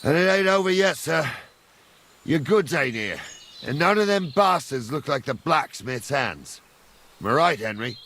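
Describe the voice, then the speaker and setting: Seasoned soldier captain, medieval game: blunt, wry, practical, with lively rises and falls. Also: male voice, a little high, unhurried, slight background noise. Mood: wary. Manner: blunt, colloquial. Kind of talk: video game cutscene acting.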